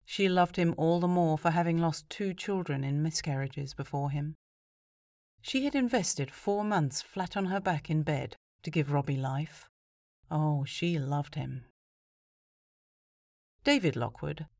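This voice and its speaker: A woman narrating a horror story as an audiobook reader, measured and expressive. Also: deep voice, no echo, studio quality.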